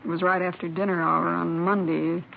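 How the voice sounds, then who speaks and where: An American actress playing a kindly, talkative next-door neighbor in a 1950s radio crime drama. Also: female voice, fairly low pitch, level tone, normal speed, creaky. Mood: earnest, sympathetic. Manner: homely, talkative, sympathetic. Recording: muffled, noisy vintage radio broadcast.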